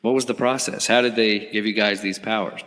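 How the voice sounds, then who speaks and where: A man's voice: on the low side, fairly even intonation, fairly quick, fairly bright tone, in a large hall, natural voice. An actor playing a male intelligence agent who casually questions a veteran in an audio drama. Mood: curious.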